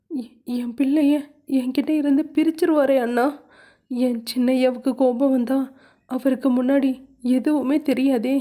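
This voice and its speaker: A woman voicing Kayal, a frightened young mother who pleads in a high, shaky, tearful voice. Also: warm tone, natural voice.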